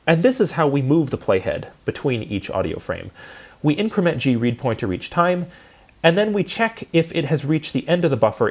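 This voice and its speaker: A man's voice: mid-range voice, a little mellow. A man giving an instructional lecture, explaining things methodically step by step.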